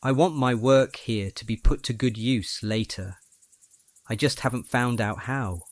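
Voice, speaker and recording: Man playing a measured, bookish young roommate. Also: mid-range voice, no echo, studio quality, lightly acted. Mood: friendly, thoughtful. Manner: measured, slightly formal phrasing. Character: blond young man, tidy bookish early-rising roommate, gently teasing. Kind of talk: audio drama acting.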